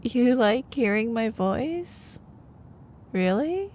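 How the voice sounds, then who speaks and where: A woman acting as a sweet, teasing college-age girlfriend in a bedtime ASMR roleplay. Her voice is highish and very expressive, with an extremely unhurried pace. She is soft and playful, easing now and then into breathy murmurs. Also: slight background noise. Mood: playful, affectionate. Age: young adult. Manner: soft, playful, giggly, slipping into breathy whispers. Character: sweet, teasing young girlfriend, a sleepy-hours college student. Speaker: ASMR voice performer.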